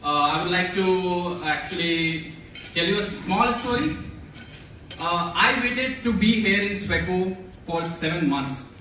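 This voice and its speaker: A man's voice: high-pitched, lively intonation, a little slow, sharp tone. A young man whose first language is Hindi gives a hesitant acceptance speech, full of fillers and restarts, on a stage in a large banquet hall. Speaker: award recipient giving acceptance speech. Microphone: handheld mic heard from far in the room. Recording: echoey hall, recorded from far off. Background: audience chatter and noise.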